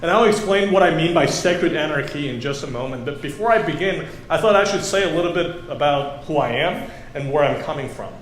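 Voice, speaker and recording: A man from Taiwan giving a conversational sermon as a guest preacher, pausing often with fillers. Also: fairly high pitch, animated, a little hiss or hum. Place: church sanctuary. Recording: echoey church room, faint ambience. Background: congregation.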